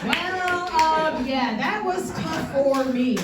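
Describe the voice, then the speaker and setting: A woman in her forties works a stand-up crowd, slipping bawdy punchlines in with a flat deadpan and loose filler ums. Her voice sits fairly high and carries through a reverberant club room, caught at some distance from the mic, with a clear hum of noise underneath. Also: slow pace. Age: 40s. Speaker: stand-up comedian. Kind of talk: stand-up comedy set. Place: comedy theatre stage before a live audience.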